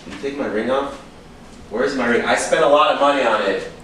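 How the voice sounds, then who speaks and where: A man's voice: medium pitch, a little fast, fairly bright tone, close to an everyday voice. An actor playing a volatile, possessive man in a staged play, accusing one moment and begging the next, recorded from a distance in an echoey room. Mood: demanding, wounded. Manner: accusing, pleading, swinging from bullying to begging. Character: volatile, possessive alcoholic man, a desperate failing writer. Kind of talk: staged play reading, dramatic dialogue. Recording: echoey room, distant microphone, faint noise.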